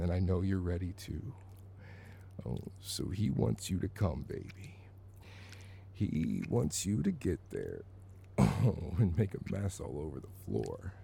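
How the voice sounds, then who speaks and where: Deep gravelly man murmuring slowly. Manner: murmuring, sighing and moaning softly. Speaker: solo audio roleplay performer. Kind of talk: intimate whispered roleplay monologue.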